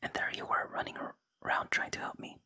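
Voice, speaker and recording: A gaming host whispering softly in ASMR style close to the microphone, reading game dialogue and commenting on play. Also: fast talker. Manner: unvoiced, gentle whispering throughout.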